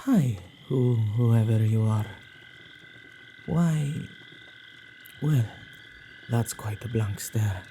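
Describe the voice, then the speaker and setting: A man playing a playful, possessive male witch in an ASMR roleplay, with a husky, dark voice and a teasing, theatrical, musing manner. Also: mid-range voice, drawn-out words, pauses often, noisy background. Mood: amused, teasing.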